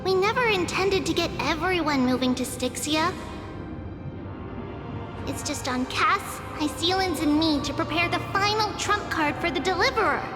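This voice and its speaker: A woman's voice: extremely high pitch, normal speed. A woman playing an earnest, resolute little demigod maiden in a video game, speaking with careful determination. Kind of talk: dramatic video game voice acting. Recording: echoey long reverb under music.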